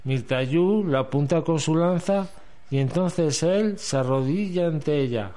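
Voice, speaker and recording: A man's voice: on the high side, measured pace, mellow. A man reading scripted storytelling narration as the narrator of an amateur radio drama. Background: music.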